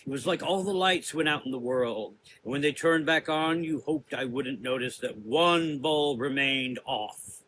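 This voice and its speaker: A man playing a menacing, cerebral gothic sorcerer in an audio drama, lecturing deliberately in drawn-out, taunting phrases. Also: mid-range voice, fairly bright tone, a little hiss or hum, natural voice, poor audio. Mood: accusing.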